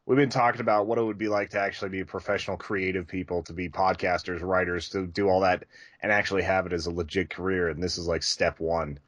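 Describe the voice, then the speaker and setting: A man's voice: low-pitched, dry recording. A man chatting casually and enthusiastically as a podcast co-host.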